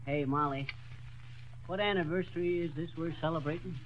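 A man's voice: a little high, steady tone, a little fast, lo-fi sound, close to an everyday voice. An actor playing a folksy, pun-loving middle-aged husband who laughs at his own jokes in a classic radio comedy. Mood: cheerful. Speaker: comedy lead.

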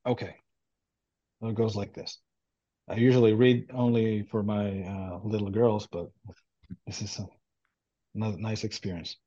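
Mellow-voiced man. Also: lowish voice, fairly even intonation, normal speed, long pauses, lo-fi sound. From Israel. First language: Hebrew. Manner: hesitant, many ums and restarts. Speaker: translator, guest. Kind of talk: casual interview talk.

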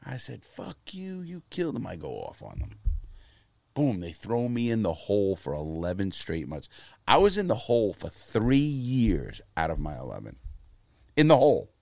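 A man's voice: on the low side, moderate pace, creaky, no room sound. A man in his fifties telling blunt, profane stories as an interview guest. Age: 50s. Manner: blunt, profane, animated storyteller. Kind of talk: casual interview storytelling.

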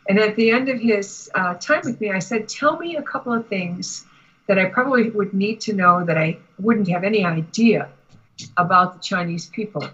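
An American woman talking conversationally as a guest spiritual teacher, explaining and telling anecdotes in a measured, deliberate way. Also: medium pitch, fairly bright tone, breathy edge, dull audio. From United States. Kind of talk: conversational interview talk with anecdotes.

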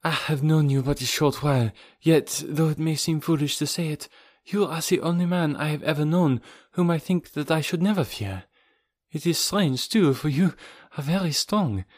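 A man's voice: fairly high pitch, moderate pace, darkish tone, no echo, studio quality, voice pushed up, natural voice. A man doing a frightened young noblewoman. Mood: tender. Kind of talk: audiobook reading.

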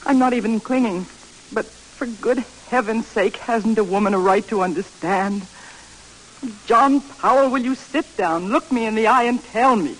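A woman's voice: fairly high pitch, moderate pace, natural voice, low-quality recording. An actress as a proud, pleading housewife in a vintage radio play. She presses her questions in an insistent, theatrical way, with light hiss over the old broadcast. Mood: insistent, hurt. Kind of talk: 1940s radio drama acting.

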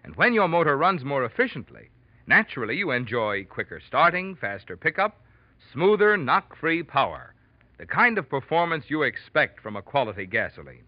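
A man's voice: fairly high pitch, big pitch swings, normal speed, no echo. A man working as a radio announcer, reading a scripted 1940s sponsor message in an emphatic, salesmanlike way. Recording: dry, muffled old radio recording.

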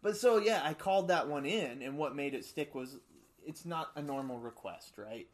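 Middle-aged guy, high and light voice, swaps loose, laughing anecdotes as a guest. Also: normal speed. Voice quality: high-pitched voice for his size. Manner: animated storyteller, acts out quotes with laughs. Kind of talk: casual storytelling chat.

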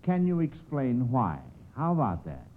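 A man's voice: medium pitch, wildly swooping pitch, a little slow, narrow, tinny audio. A man hosting an old-time radio quiz show, chatty and folksy, often stretching out his phrases as he goes. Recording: scratchy, noisy, thin old radio recording.

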